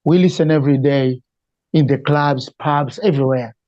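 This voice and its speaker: A man's voice: mid-range voice, moderate pace, darkish tone, dry recording, lo-fi sound. A man from Malawi with a Malawian accent, answering conversationally as a musician being interviewed. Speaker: interview guest, reggae singer.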